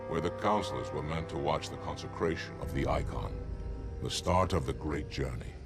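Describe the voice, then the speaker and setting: A stern alien warrior from a video game. His voice is extremely low and his delivery terse and commanding, with music clearly behind it. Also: normal speed. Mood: stern, resolute. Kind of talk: dramatic video game acting.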